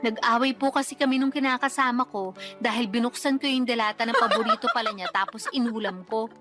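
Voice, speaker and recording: A woman plays a high-voiced young mother in a radio drama. She is weary and defensive, politely protesting as she justifies herself, with soft music underneath. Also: fairly quick, close to an everyday voice. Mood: defensive explaining. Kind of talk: Tagalog radio drama acting.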